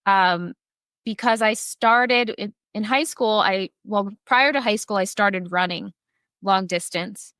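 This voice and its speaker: Bright-voiced woman telling her story. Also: medium pitch, takes it slowly, dry recording. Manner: frank, talkative, swears casually, many restarts. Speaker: podcast guest. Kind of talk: casual personal storytelling.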